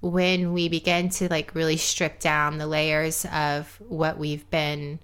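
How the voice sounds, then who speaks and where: A middle-aged woman talking on a podcast, reflective and rambling, speeding up and slowing down. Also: sits low, little pitch movement, a bit bright, clean tone. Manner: reflective, rambling, pace shifting. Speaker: podcast guest and co-host. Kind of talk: casual podcast chat.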